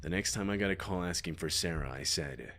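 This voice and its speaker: A man's voice: low voice, a little fast, fairly bright tone. A man reading scripted scary stories as a narrator, with music behind him. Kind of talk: scripted horror story narration. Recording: music bed under the voice. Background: background music.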